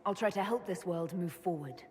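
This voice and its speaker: Fantasy game role: actress as confident heroic leader, lowish female voice, assured and hopeful, reverberant space. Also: normal speed, a little mellow, close to an everyday voice. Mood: earnest. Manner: assured, plain-spoken. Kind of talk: dramatic fantasy video game acting. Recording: hall reverb, faint noise.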